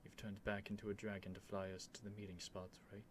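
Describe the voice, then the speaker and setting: A man playing an earnest, nervous young prince devoted to a dragon, in a halting, emotional ASMR roleplay addressed to the listener. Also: fairly low pitch, level tone, slight background noise, natural voice. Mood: pleading, resigned. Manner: halting, stammering, breathy sighs and whispers, emotional.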